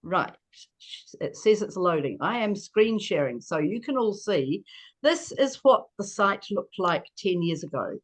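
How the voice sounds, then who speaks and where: An older woman gives an informal talk. Her voice sits at a medium pitch, and she feels her way through sentences, stopping to correct herself. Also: big pitch swings. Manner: halting, self-correcting. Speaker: co-housing resident presenting. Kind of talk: informal presentation. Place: video call from Dunedin.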